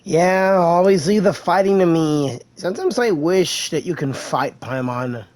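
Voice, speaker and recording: Woman game streamer: lowish pitch, slow, low-key casual commentary. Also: lively intonation, a little mellow. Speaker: gaming streamer. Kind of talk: casual reactions over gameplay. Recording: game music behind the voice.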